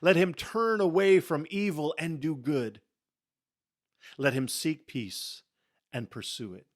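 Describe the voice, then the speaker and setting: A man giving a dramatic scripture reading in a solemn, emphatic way, with deliberate pauses and phrases that fall away softly. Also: fairly high pitch, no echo, clean studio sound. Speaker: scripture reader.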